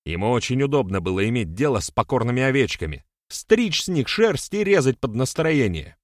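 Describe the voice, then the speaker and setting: A man's voice: mid-range voice, sing-song, a little fast, dry recording, clean studio sound, pitched above the performer's own voice, natural voice. A man voicing a hot-tempered, defiant warrior-sorcerer in an audiobook, declamatory and sarcastic. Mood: furious, accusing.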